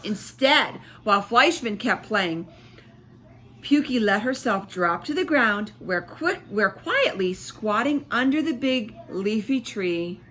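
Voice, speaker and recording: A woman teacher reading a storybook aloud to children with expressive emphasis, pausing to explain and ask questions. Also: medium pitch, slight background noise.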